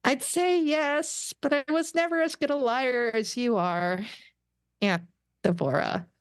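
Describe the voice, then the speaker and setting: A woman's voice: sits high, a little slow, no room sound, voice pushed up. A woman playing a young alien officer posing as a tough pirate captain, commanding and insistent, in a table read. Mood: sad defiance. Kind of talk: fan script table read.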